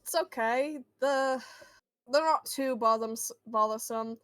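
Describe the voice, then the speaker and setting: A woman playing a friendly but guarded boy who hears voices, halting and reassuring, in an improvised tabletop roleplay. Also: a little high, fairly bright tone, dry recording. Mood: awkward, friendly.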